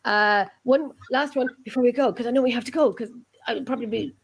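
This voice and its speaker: Irish woman host, chatty, quick to laugh, easygoing group chat. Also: fairly high pitch. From Ireland.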